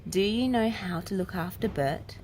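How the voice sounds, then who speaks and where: A woman's voice: medium pitch, normal speed, darkish tone, a little hiss or hum, voice pushed up, natural voice. A woman voicing a young boy, a patient and questioning older brother in a storybook. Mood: curious.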